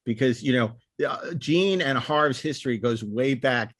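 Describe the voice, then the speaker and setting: A man of medium pitch speaks in a very dry, close recording as a podcast co-host. He explains past events like an emphatic storyteller, doubling back and repeating words as he goes. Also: a little slow, fairly bright tone, no echo. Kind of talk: casual anecdotal panel discussion, explaining history.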